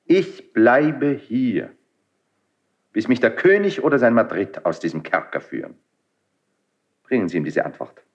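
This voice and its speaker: Proud, impassioned young prince, husky. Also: male voice, medium pitch, very animated, a little slow, pauses often, lo-fi sound, heavily acted. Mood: proud, bitter. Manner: theatrical, declamatory, proud. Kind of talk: classical German stage verse radio drama acting.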